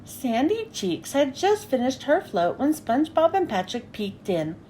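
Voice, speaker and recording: A woman reading a picture book aloud to children with an expressive, animated delivery. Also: high voice, a little slow, a little hiss or hum.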